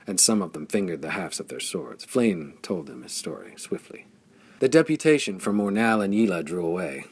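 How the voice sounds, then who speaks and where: A man reading an adventure audiobook aloud in a measured storytelling manner. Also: low voice, gravelly, breathy edge. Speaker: audiobook narrator. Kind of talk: scripted audiobook narration.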